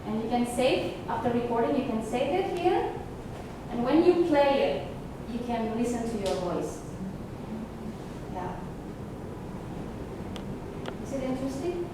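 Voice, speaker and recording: Female presenter, fairly high voice, step-by-step teacher explaining to a group, recorded in a very reverberant room with loud noise. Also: lively intonation, measured pace, pauses often. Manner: explanatory, step-by-step, checking with listeners. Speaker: teacher demonstrating software. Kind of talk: live presentation and demonstration to a group. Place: echoey room, presenting to an audience. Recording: very echoey, distant, noisy room recording.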